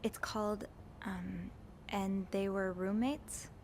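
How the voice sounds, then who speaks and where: A curious young hotel maid who talks earnestly and a little hesitantly. Her voice sits in a middle pitch. A faint hiss lies under the game audio. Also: fairly even intonation, slow pace, a little mellow. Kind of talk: video game voice acting.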